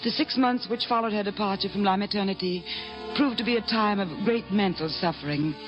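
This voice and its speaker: A woman's voice: medium pitch, a little fast, natural voice. An actress playing a cautious, concerned young woman, sister to a doctor, in an old-time radio drama. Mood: reflective. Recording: dull old radio recording with music.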